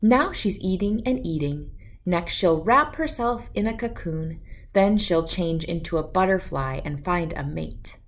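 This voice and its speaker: Picture-book father, read by a woman: kindly, cheerful explainer, unhurried and expressive. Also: lowish voice. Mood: warm, encouraging. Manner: gently explanatory.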